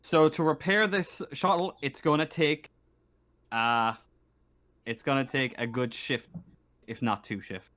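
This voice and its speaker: Halting man running a tabletop game. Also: mid-range voice, a bit bright. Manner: halting, repeats phrases while working out descriptions. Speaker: game master. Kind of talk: improvised tabletop game narration.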